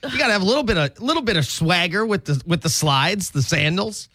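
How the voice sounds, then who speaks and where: A man hosting a radio morning show in casual banter, animated and joking. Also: high voice, brisk, no echo. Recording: music playing behind the voice. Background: background music.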